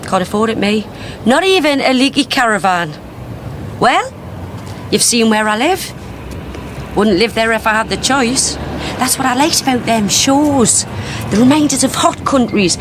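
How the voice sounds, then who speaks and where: A blunt hard-up northern mother. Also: sits high. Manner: blunt, wry, rambling. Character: hard-up working-class mother, a witness. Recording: radio drama with street noise. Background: street ambience, passing cars.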